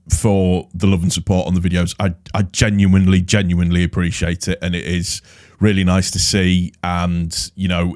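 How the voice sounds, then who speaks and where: A man's voice: sits low, a little mellow. Sniffly man chatting about football. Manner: chatty, rambling, exasperated when mocking rivals. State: stuffy with a cold. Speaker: football fan channel host. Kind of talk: casual solo vlog commentary.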